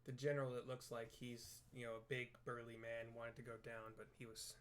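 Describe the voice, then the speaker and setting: A man's voice: medium pitch, level tone, a little fast. A man improvising plain audio description of video game cutscenes, hesitant and correcting himself as he goes.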